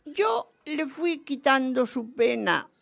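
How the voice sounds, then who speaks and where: High, creaky, chatty elderly lady. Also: very animated, takes it slowly, fairly bright tone, heavily acted. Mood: reminiscing, chatty. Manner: rambling, gushing, oversharing. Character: chatty, lively 92-year-old widowed former nun and teacher. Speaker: quiz show caller. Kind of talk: comic radio theatre acting.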